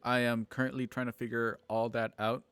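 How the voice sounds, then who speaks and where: A man hosting an online show, chatting casually and haltingly on a livestream about plans for it. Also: medium pitch, little pitch movement, fairly bright tone, clear, resonant tone, no room sound. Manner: halting, informal. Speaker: host and organizer of an online radio drama show. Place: at home on a multistream video call.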